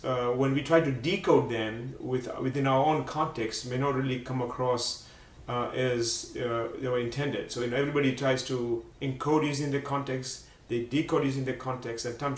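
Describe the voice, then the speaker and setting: A man hosting a live religious program, speaking haltingly with many fillers. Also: medium pitch. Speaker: program host. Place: Seattle area. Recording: roomy, distant mic.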